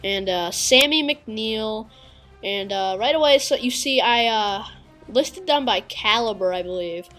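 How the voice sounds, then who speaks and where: A woman giving casual, halting gameplay commentary with a congested, sniffly voice and many filler pauses. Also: a little high, expressive, a bit bright, soft background music. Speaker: gaming video creator.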